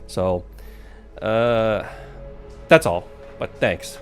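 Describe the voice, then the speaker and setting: Low-pitched man streaming a game. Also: extremely slow. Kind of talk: casual live let's play commentary. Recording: faint game music behind.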